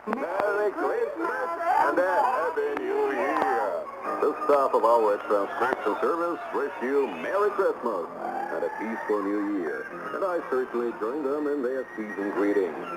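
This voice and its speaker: A man's voice: medium pitch, sing-song, measured pace, loud music behind the voice, poor audio. A man presenting a scripted radio program.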